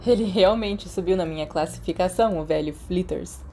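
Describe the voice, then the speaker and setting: A woman as a carefree, mellow teenage wizard boy. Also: sits high, brisk, slight background noise. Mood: playful. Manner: breezy, casual, dismissive of worries. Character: teenage wizard boy, carefree, dreamy, mischievous best friend.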